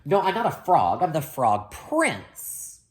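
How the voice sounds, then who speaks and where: A woman's voice: low voice, very animated, slow pace, pitched above the performer's own voice, full character voice. A woman voicing a hapless young storybook prince, earnest, polite and flustered, often pleading. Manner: polite, flustered, repeats himself.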